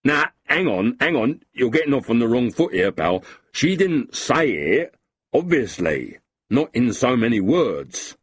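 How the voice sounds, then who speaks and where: A man voicing a boozy, sentimental lowlife with a husky voice and a blustering, self-pitying way of talking. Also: big pitch swings, measured pace. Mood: evasive.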